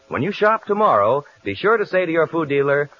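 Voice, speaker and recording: A man's voice: on the high side, sing-song, brisk. An American man announcing a scripted 1940s radio show with a punchy sales delivery. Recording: dull, hissy vintage 1940 radio recording.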